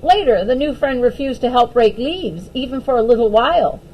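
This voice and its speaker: A woman reading a children's picture book aloud as an expressive storyteller. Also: on the high side, moderate pace, a little hiss or hum, low-quality recording.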